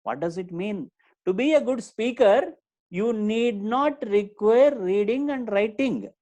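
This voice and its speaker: A middle-aged man, a Telugu speaker, teaching a live online class. His pitch swings widely as he repeats each point and fires questions at his listeners. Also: expressive, measured pace, a little mellow. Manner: repeats key points and quizzes the audience. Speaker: communication trainer.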